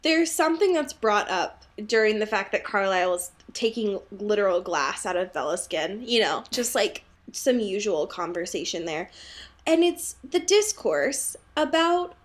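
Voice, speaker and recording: A woman's voice: mid-range voice, sing-song, unhurried. A woman co-hosting a podcast, chatting casually about a book in a giggly way with playful, sarcastic asides. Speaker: podcast co-host. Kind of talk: casual book discussion chat.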